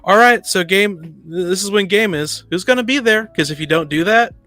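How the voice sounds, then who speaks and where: A man co-hosting a casual discussion of tabletop games, acting out imagined quotes in an animated way. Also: sits high, moderate pace, faint music behind. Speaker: podcast co-host and game master.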